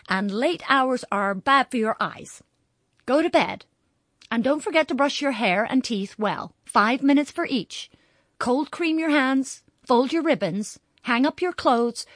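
A woman's voice: sits high, dry recording, studio quality, pitched above the performer's own voice, full character voice. A woman voicing a bossy young girl who plays a strict mother, prim and commanding.

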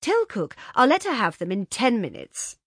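A fussy upper-class mother in a flap, her voice jumping high as she fires off breathless orders, put on by a woman reader. The tone carries a slight huskiness and sits close and dry. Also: wildly swooping pitch, moderate pace, a bit bright, breathy edge, dry recording, heavily acted. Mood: brisk. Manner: breathless, fluttering commands.

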